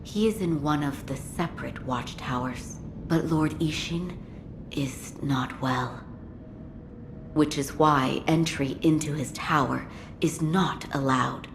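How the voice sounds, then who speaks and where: A woman playing a calm, observant retainer in a video game, measured, reserved and courteous. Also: deep voice, background noise. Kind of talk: dramatic video game dialogue.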